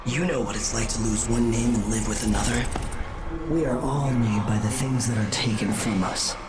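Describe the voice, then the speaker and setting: An actor playing a cryptic, ageless supernatural being in a video game, measured, solemn and knowing. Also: male voice, mid-range voice, steady tone, natural voice. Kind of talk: dramatic video game monologue. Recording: loud music behind the voice. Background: loud game music.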